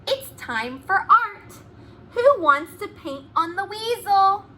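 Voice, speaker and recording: A woman's voice: extremely high pitch, lively intonation, slow, pushed and bright, a little hiss or hum, voice pushed up, exaggerated voice. A woman voicing a cheerful, silly female schoolteacher from a storybook, calling to children in a sing-song manner. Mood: cheerful, inviting.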